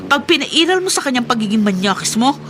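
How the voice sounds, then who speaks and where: A woman's voice: fairly high pitch, big pitch swings, quick pace, fairly bright tone, slight background noise. A woman playing an anguished, vengeful, protective mother in an emotional radio melodrama. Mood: stern warning. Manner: emotional, stern, declaiming. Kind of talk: Tagalog radio melodrama acting.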